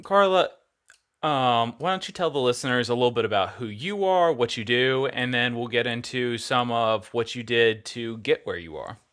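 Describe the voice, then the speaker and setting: Guy hosting a casual interview podcast, really lively intonation, medium voice. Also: medium pitch, normal speed. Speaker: podcast host.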